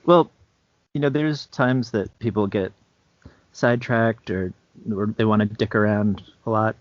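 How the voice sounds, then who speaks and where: A dry, slightly dull recording of a man with a low voice. He chats casually as a podcast co-host, feeling his way through sentences with frequent pauses. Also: no echo. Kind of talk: casual chat.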